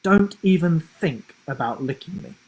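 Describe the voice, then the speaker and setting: Young storybook boy played by a man: caring, steady, encouraging, lightly breathy and rough. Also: a little high, measured pace, pitched above the performer's own voice, poor audio. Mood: firm. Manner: reassuring.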